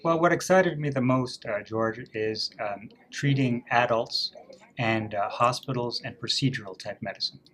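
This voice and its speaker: A man from Canada working as a family doctor, answering interview questions in a measured way with frequent ums and uhs. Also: mid-range voice, a bit bright. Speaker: family physician guest.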